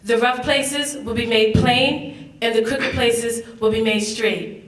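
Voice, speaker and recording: A woman's voice: a little high, steady tone, takes it slowly. A young woman, a student reader, reciting a famous speech as a dramatic reading. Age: young adult. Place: large echoey room at a public event. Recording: echoey hall, far from the mic.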